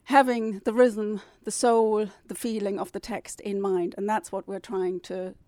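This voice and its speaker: A woman's voice: mid-range voice, little pitch movement, unhurried, mellow, no echo. A woman whose first language is German, a publisher and novelist, answering interview questions in a thoughtful way, with fillers and restarts.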